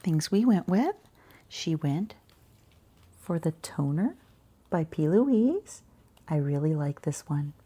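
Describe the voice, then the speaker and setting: A woman talking quietly in an ASMR shop roleplay, gentle and chatty, with a soft, breathy voice that often drops toward a whisper. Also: sits low, animated, takes it slowly, long pauses. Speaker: ASMR roleplayer as boutique shopkeeper and channel host.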